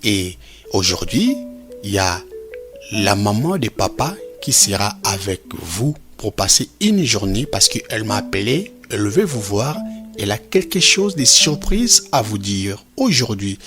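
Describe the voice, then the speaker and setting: A patient, kindly cartoon dad played by a man talks to his children. His delivery swings expressively up and down, with music clearly behind him. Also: lowish voice, very animated, measured pace. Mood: patient, cheerful. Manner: addresses children, checking they agree. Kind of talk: animated film dialogue.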